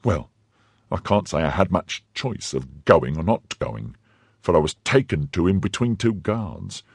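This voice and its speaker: A man voicing a pompous, cowardly middle-aged shopkeeper in his own low, husky voice. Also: sing-song, unhurried, dry recording, studio quality, full character voice. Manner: self-important, blustering. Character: pompous fifty-year-old mercer, cowardly and greedy.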